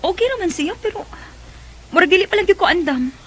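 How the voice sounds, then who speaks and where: A woman's voice: very high voice, lively intonation, brisk, fairly bright tone. A woman with a Cebuano Filipino accent playing a shy, hesitant young woman being courted in a radio drama. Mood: coy, hesitant. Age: young adult.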